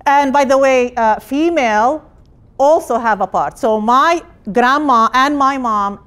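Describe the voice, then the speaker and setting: A middle-aged woman from Palestine, a hospital director, giving an energetic personal talk in a conference room with phrasing. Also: on the high side. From Jerusalem, Palestine. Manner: energetic, gesturing while speaking, non-native phrasing. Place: conference room with projected slides.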